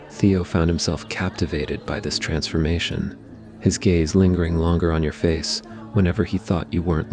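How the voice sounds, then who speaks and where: Extremely deep-voiced man narrating romance. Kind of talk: scripted romantic ASMR story narration.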